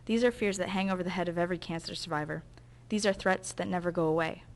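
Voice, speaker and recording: Female documentary narrator: earnest, measured scripted read, lowish pitch. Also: fairly even intonation.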